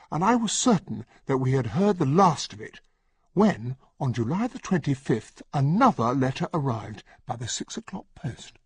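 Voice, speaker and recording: Expressive, husky English gentleman sidekick. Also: a little high, heavily acted. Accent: British. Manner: expressive, earnest, well-spoken. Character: earnest English gentleman, detective's loyal, easily shocked companion. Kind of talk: radio drama acting and first-person narration.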